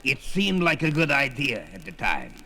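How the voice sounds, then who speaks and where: An actor playing a wily, weary old wizard in a fantasy audio drama, with a gravelly, sharp voice and slow theatrical delivery. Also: male voice, mid-range voice, huge pitch swings. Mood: sheepish. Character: wily, weary old wizard and father, feeble yet powerful. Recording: reverberant 1970s record with music.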